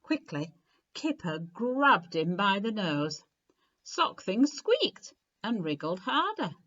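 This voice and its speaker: Woman, children's story reader, slow pace, expressive, dramatic emphasis, slightly dull recording. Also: mid-range voice. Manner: deliberate, clearly phrased, dramatic emphasis.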